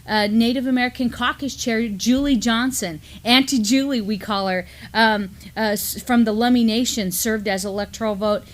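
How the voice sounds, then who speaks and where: A woman's voice: on the high side, fairly even intonation, normal speed, hardly any pauses. A middle-aged woman co-hosting a studio news talk show, speaking earnestly with frequent fillers. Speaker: co-host, university teacher. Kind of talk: news discussion. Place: studio with mottled backdrop.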